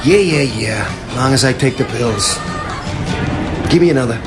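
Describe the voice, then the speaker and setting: An actor playing a broke, defiant young hustler in a radio drama, curt, evasive and streetwise. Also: male voice, mid-range voice, animated, unhurried, a loud crowd, lightly acted. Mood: evasive, edgy. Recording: music behind dialogue.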